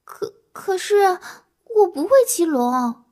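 A woman's voice: very high-pitched, animated, takes it slowly, darkish tone, exaggerated voice. A woman playing a timid, naive, easily flustered young human in an audio drama, speaking meekly and hesitantly. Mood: stunned, nervous. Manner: hesitant, meek. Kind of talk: Chinese audio drama acting.

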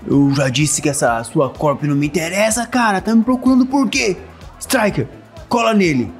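A man's voice: high-pitched, sing-song, moderate pace, faint music behind. A man playing an arrogant, irritable, foul-mouthed shadowrunner fixer in an audio drama, confrontational and scornful. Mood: angry.

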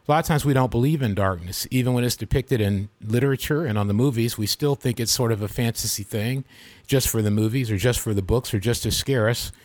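A man's voice: mid-range voice, dry recording. Earnest man hosting a devotional podcast. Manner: earnest and reflective, preacher-like. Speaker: podcast host.

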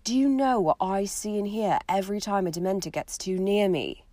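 A woman voicing a withdrawn, brooding teenage boy speaking flatly and bitterly. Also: mid-range voice, normal speed, no room sound, close to an everyday voice.

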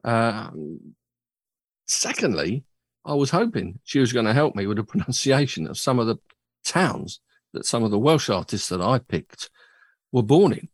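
A man's voice: medium pitch, sing-song, measured pace, husky, no echo. A man hosting a casual chat show, rambling and joking at his own expense. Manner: chatty, rambling, self-mocking. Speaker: podcast host. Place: home studio.

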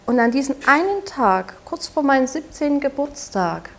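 A woman in her twenties from Saxony with a Saxon German accent, speaking haltingly and softly as an interview guest, often restarting her sentences. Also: sits high, lively intonation. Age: 20s. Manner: halting, soft-spoken, with frequent restarts. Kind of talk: personal testimony in an interview.

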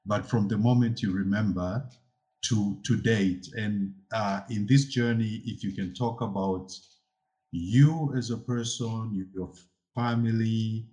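A man with a somewhat low voice hosts an interview. He poses long questions slowly, breaking them up with frequent hesitation sounds. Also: mellow. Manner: slow, halting with frequent uh's. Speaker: host.

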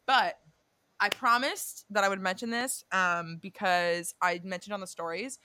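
A woman's voice: medium pitch, fairly even intonation, measured pace, sharp tone, slight background noise. A young woman co-hosting a podcast, chatting casually and swearing freely, often drifting off and correcting herself. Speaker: podcast co-host. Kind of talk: casual chat about a reality show and astrology.

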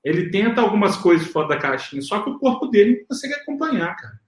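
A man's voice: high-pitched, sing-song, fast. A man with a Brazilian Portuguese accent, a co-host on a football live stream, blunt and opinionated with plenty of slang. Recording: roomy echo.

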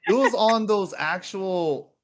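A relaxed man in his thirties, from Hawaii, talking as a guest on a podcast. His talk is loose and friendly, with "dude" and "man" all through it. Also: sits high, slow pace, a crowd in the background. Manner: laid-back, keeps calling people "dude". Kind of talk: casual chat.

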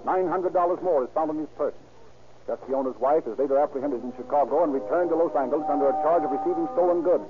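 An actor as a formal, dramatic narrator of a 1930s radio crime story. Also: male voice, fairly high pitch, fast, over loud music, close to an everyday voice. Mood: urgent, formal. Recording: tinny old radio recording.

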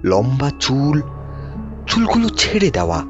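An actor playing a restless, frightened young man who haltingly recounts a nightmare in an audio drama. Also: mid-range voice, huge pitch swings. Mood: haunted, agitated. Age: young adult. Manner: anxious, halting, dramatic delivery. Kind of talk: dramatised audio story storytelling. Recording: slightly muffled, loud background music. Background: prominent music.

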